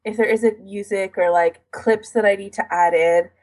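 A woman with a husky, slightly rough voice talks casually as a podcast co-host. Her delivery is rambling and conversational, peppered with "like" as she restarts her thoughts. Her pitch sits in the middle of the female range. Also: fairly even intonation, moderate pace, a little mellow. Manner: rambling, filler-heavy delivery with frequent "like". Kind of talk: casual chat about audio editing.

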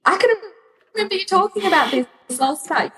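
A woman's voice: extremely high pitch, animated, a little fast, pushed and bright. A woman co-hosting a podcast in a casual, conversational style. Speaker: podcast co-host.

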